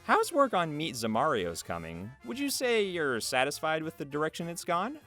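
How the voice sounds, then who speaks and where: Guy doing a casual interview, really lively up-and-down voice, faint game music behind. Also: on the high side, normal speed. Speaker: interviewer, host. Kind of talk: casual interview questions and chat. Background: video game music.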